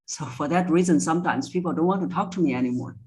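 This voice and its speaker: A woman's voice: sits low, quick pace, warm tone. A woman speaking as a voice coach in a conversational interview, giving instructive advice step by step. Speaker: voice coach, podcast guest.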